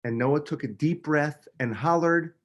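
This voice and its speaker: A man, a children's book author, reading a picture book aloud with expressive, dramatic emphasis. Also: on the high side, measured pace. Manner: expressive, dramatic delivery with emphasis on key words.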